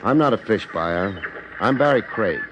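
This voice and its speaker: A man's voice: on the low side, animated, moderate pace, noisy background, lightly acted. A man acting as a wry, persuasive hard-boiled private investigator, clipped in delivery, in 1950s radio detective style. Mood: firm, persuasive. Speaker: lead actor and narrator of detective drama. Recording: dull old 1950s radio broadcast recording.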